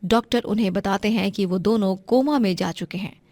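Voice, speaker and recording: A woman's voice: medium pitch, moderate pace, warm tone, dry recording. A woman narrating a scripted moral story as its storyteller.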